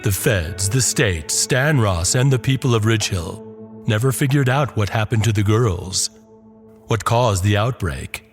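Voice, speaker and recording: Slow, low-voiced male horror narrator. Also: expressive. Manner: deliberate, dramatic storytelling with ominous emphasis. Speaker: narrator and host of a horror podcast. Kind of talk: scripted horror story narration. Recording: music under the voice. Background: music.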